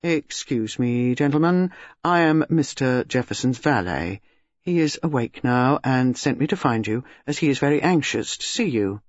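A man voicing a respectable valet who speaks slowly, formally and deferentially. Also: mid-range voice, lively intonation, no room sound, close to an everyday voice. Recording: dull, dry lo-fi recording.

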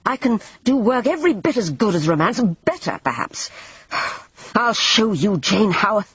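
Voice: medium pitch, sing-song, moderate pace, slightly rough, no room sound, voice pushed up. A reader doing a proud, furious painter. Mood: angry. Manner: bitter, impatient, sweeping. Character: proud, touchy male painter, quick to furious anger. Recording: dull lo-fi dry recording.

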